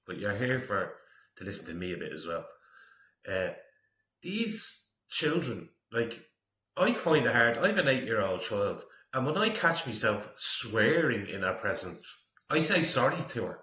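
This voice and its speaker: A middle-aged man with a low, forceful voice comments casually as a reaction host. He sounds disapproving and opinionated, and the recording is roomy and echoey. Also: big pitch swings, unhurried.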